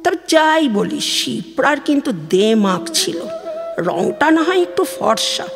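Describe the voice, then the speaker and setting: A woman's voice: high voice, sing-song, a little slow, gravelly, in a large hall, exaggerated voice. A woman voicing a worried, complaining elderly village mother in a colloquial, lamenting audio drama style. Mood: gossiping, disapproving. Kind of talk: audio drama recitation of a phone dialogue. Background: music.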